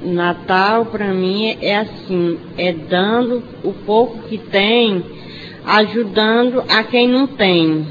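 A woman's voice: mid-range voice, slow pace. A Brazilian woman in her late forties giving simple, unscripted personal talk as a radio guest. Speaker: student guest on radio show. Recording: long echo, dull, faint noise.

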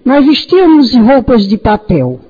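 A woman's voice: a little high, big pitch swings, measured pace, husky. A fifty-year-old Brazilian woman speaking as a guest on a radio show. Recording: dull lo-fi audio.

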